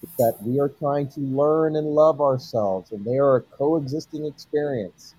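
An encouraging man delivering a motivational message in a husky, mellow voice. The sound is dry and close. He speaks earnestly, almost like a sermon. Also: medium pitch, a little slow, no echo, low-quality recording. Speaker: guest speaker. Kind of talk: motivational speech.

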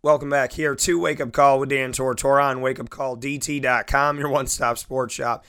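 A male sports radio host speaks with a hoarse, scratchy voice that is still clear and close-miked in a dry studio. His manner is chatty and self-deprecating, and he talks straight to his listeners. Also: mid-range voice, fairly even intonation, moderate pace, a bit bright, no echo. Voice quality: scratchy, raspy. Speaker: sports talk show host. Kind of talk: casual sports radio talk.

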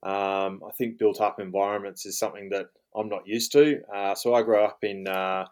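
A man's voice: on the low side, steady tone, moderate pace, darkish tone. A man around forty with an Australian accent, talking at an easy pace as an interview guest, filling his sentences with "um" and "you know". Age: 40s. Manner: rambling, lots of fillers like um and you know. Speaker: guest, fly fishing guide. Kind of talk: relaxed interview talk.

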